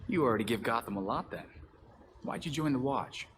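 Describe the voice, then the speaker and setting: An actor playing a dry, easygoing masked vigilante in a video game, with a wry, conversational delivery. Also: male voice, medium pitch, expressive, brisk, noisy background, lightly acted. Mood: earnest. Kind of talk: video game cutscene acting.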